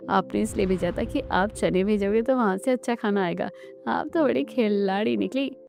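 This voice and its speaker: A woman acts out a pert young daughter-in-law in an Indian family cartoon. Her delivery is animated and questioning, over faint background music. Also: medium pitch, moderate pace, gravelly, natural voice. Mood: cheerful. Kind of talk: Hindi family cartoon dialogue.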